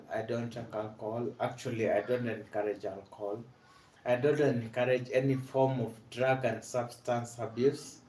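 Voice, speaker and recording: A young Kenyan man with a Kenyan accent, telling his own story about living with multiple sclerosis in an earnest, halting way full of "basically" and "uh". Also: medium pitch. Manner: earnest, repeats "basically" and "uh", frequent restarts. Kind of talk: personal awareness vlog, storytelling. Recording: echoey room.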